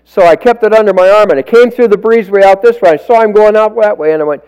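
A man preaching in church, telling folksy stories with self-deprecating asides and a loud, projecting voice on a stage microphone. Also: high voice, quick pace, clear, resonant tone, poor audio. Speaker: lay preacher. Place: church sanctuary. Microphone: stage microphone, walks around.

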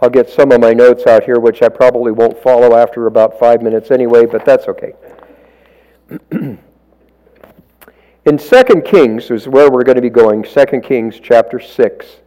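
Guy giving a sermon, loud projecting voice, rambly folksy stories, mic on stage in a church. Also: mid-range voice, normal speed, lo-fi sound. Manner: chatty storytelling, self-deprecating asides. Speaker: lay preacher. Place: church sanctuary. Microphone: stage microphone, walks around.

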